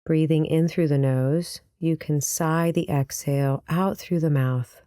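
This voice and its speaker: A woman leading a guided meditation as a teacher, speaking in a gentle, soothing, unhurried manner. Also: low voice, fairly even intonation, dry recording, clean studio sound.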